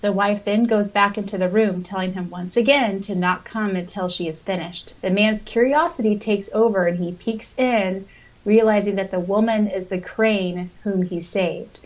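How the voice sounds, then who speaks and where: A woman's voice: mid-range voice, steady tone, moderate pace, rough recording. A woman co-hosting a podcast, chatting casually and retelling stories in an informal way. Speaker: podcast co-host.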